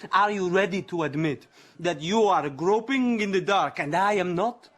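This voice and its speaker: An actor playing an arrogant, clever young suspect in a radio drama, taunting in a mocking, theatrical manner. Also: male voice, sits high, moderate pace, a bit bright. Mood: smug, goading.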